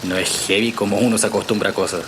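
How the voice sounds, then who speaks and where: A man's voice: fairly low pitch, fairly even intonation, racing, natural voice. Easygoing Chilean journalist played by a man, ambient noise. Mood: earnest. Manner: casual, hesitant, explanatory. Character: easygoing male journalist, hesitant but convinced researcher. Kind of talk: naturalistic audio drama acting.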